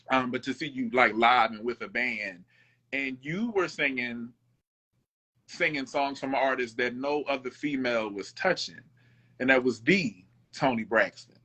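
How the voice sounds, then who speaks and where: Laid-back man in his thirties, bright tone. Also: medium pitch. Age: 30s. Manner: laid-back, conversational, keeps asking "you know what I'm saying". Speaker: guest musician. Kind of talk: casual interview chat. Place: away from home, keeping voice down.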